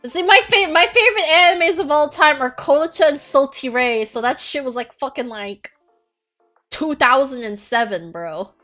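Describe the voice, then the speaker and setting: A young woman with a fairly high voice hosts a casual streamed podcast at her desk mic. She talks bluntly, laughs often and swears freely, restarting her sentences as she rambles, over faint music in the background. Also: lively intonation, normal speed, a bit bright. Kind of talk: casual chat.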